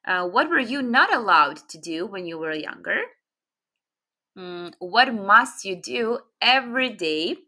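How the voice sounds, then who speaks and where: Young Serbian-native woman, bright tone, patient English teacher full of ums. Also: mid-range voice, animated. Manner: patient, explains slowly with many fillers. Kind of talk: casual online grammar lesson.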